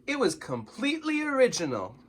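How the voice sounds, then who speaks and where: An encouraging storybook schoolteacher, a biscotti lady, acted out by a man. The voice is lifted high and bright, speaking politely as she instructs her class. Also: huge pitch swings, brisk, slightly rough, heavily acted. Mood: proud, encouraging.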